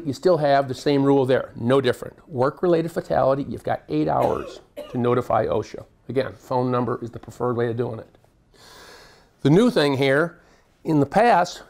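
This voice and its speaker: A man giving a live workplace safety presentation to a room of listeners, with a booming voice and a jokey, conversational manner. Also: gravelly, darkish tone. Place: meeting room with an audience.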